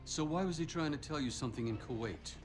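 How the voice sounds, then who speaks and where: A man's voice: a little high, fast talker, over music, close to an everyday voice. An actor playing a stern, irritated intelligence boss in a military thriller game, commanding and sarcastic as he questions subordinates. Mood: sardonic, probing. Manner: commanding, sarcastic, cuts others off.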